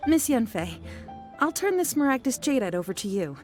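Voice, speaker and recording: A woman's voice: a little high, big pitch swings, normal speed, faint music behind. A woman voicing a courteous, earnest young businesswoman in an anime game, formal and composed.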